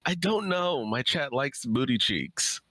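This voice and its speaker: A man's voice: mid-range voice, lively intonation, unhurried, pushed and bright, no room sound. A man hosting a casual livestream, chatting in a playful, informal, bantering way. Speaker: livestream host introducing a guest.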